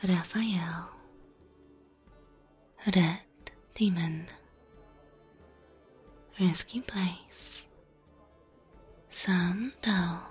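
A woman from Slavic Europe giving a soft, breathy, gentle ASMR outro of thanks, often in a whisper. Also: lowish voice. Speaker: ASMR channel creator.

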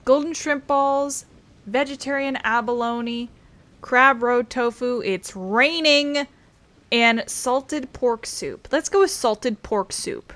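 A woman's voice: a little high, a bit bright. A woman giving casual, sarcastic, sweary commentary as she plays a game. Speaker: gaming streamer.

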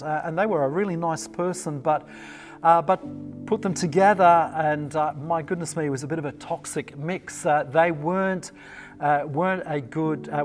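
A middle-aged man with an Australian accent, giving an online sermon in a relaxed, conversational way with plenty of ums and uhs, recorded on a lapel mic in a small indoor set. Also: a little high. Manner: conversational, relaxed preaching with frequent fillers. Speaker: pastor.

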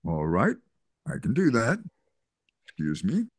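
An older man voicing a kind reverend, speaking gently with hesitant fillers over a video call. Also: on the low side, huge pitch swings, unhurried, no room sound, studio quality, full character voice. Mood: gentle. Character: kindly older male reverend. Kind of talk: Zoom play reading.

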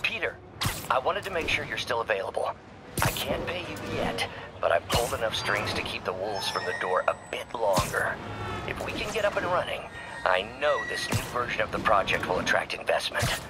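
Casting: obsessive inventor, middle-aged, very animated pitch, game drama over music. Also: male voice, brisk. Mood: earnest. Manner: intense, excitable. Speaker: scientist mentor. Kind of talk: dramatic video game voice acting. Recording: game audio under music and noise.

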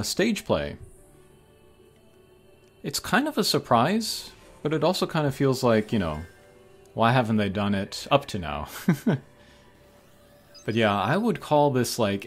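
A man hosting a gaming channel, giving casual, hesitant commentary as he thinks aloud over mobile game pulls. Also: mid-range voice. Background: game music.

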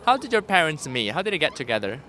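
A man's voice: fairly high pitch, sing-song, fast, crowd noise. A man interviewing passers-by on a busy city street at night, polite, with small restarts.

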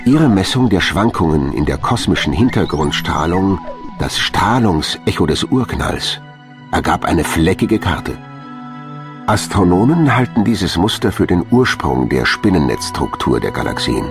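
A man's voice: sits low, very animated, measured pace, over music. A man reading scripted narration as the narrator of a documentary.

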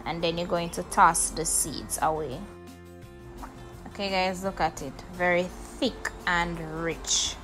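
A woman's voice: lowish voice, takes it slowly, over music. Chatty woman teaching a recipe. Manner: chatty, repeats "okay, guys" and "right?". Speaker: cooking show host. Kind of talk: cooking tutorial narration.

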